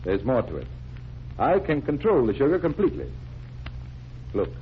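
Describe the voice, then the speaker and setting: An actor playing a confident stage magician turned detective in 1940s radio style, theatrical, deliberate and instructing. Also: male voice, mid-range voice, wildly swooping pitch. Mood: showmanlike. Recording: dull, noisy 1940s radio recording.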